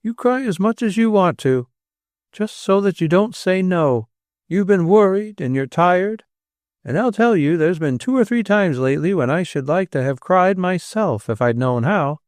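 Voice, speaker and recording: A man's voice: high voice, animated, moderate pace, no room sound, studio quality, voice pushed up. A man voicing a brash but kindly young man with a New York accent, talking in a plain, coaxing, easygoing way. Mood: breezy, coaxing. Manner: breezy, plain-spoken, coaxing.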